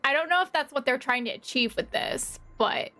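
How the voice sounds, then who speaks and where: Woman, high voice, expressive game streamer, casual reactions, faint music behind. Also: normal speed. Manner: expressive, exclaiming, frequent "like". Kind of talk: casual playthrough commentary, reading dialogue aloud.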